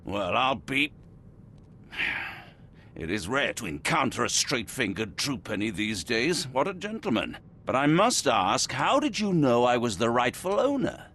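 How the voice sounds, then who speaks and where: A man's voice: medium pitch, sing-song, unhurried, a little hiss or hum. An actor playing a proud, hot-tempered middle-aged nobleman in a video game cutscene, indignant and boastful. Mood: impressed. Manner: indignant, boastful, shouting at times.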